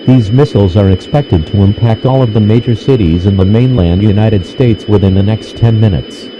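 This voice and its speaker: Emergency alert announcer, played by a man: impersonal, formal, measured, muffled broadcast with clear noise. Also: on the low side, lightly acted. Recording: dull, noisy radio-style broadcast.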